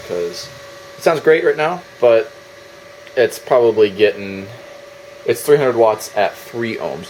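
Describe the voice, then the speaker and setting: A man's voice: fairly low pitch, darkish tone, slight background noise, low-quality recording. A man giving a casual, off-the-cuff demo as a DIY builder, thinking aloud as he handles his gear.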